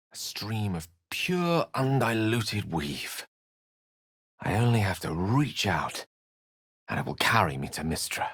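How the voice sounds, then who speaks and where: A man's voice: lowish voice, a bit bright, slightly rough, no echo, clean studio sound, full character voice. An actor playing an erudite, witty and self-assured wizard in a video game, eloquent and theatrical in his wordy delivery. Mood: intense, determined. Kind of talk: video game character acting.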